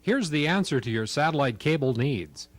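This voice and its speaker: Male ad voice, lively, dry close-mic sound. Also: fairly high pitch, normal speed, dry recording. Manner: punchy salesman delivery. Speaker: commercial announcer. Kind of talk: scripted ad read.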